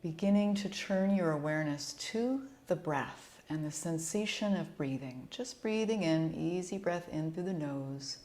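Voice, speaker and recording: A woman leading a guided yoga relaxation as an instructor, speaking in a soothing, gentle and unhurried way. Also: low-pitched.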